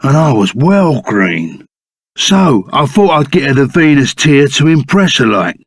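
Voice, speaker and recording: Animated Cockney bandit sidekick, creaky voice. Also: male voice, medium pitch, a little slow, no echo, studio quality, heavily acted. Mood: wistful, low. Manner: rough colloquial slang, blunt and emphatic. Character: gruff, loyal reformed bandit sidekick, plain-spoken and excitable. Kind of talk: video game character voice acting.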